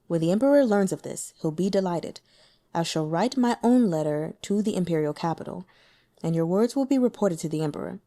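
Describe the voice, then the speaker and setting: A woman voicing a shrewd, composed male imperial envoy in an audiobook, measured and formal. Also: fairly low pitch, expressive, dark tone.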